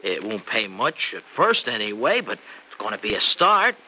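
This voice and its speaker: An actor playing an earnest, plain-spoken young farmer with folksy, insistent delivery in an old-time radio soap. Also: male voice, lowish voice, big pitch swings, moderate pace, pushed and bright, exaggerated voice. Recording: noisy vintage radio recording.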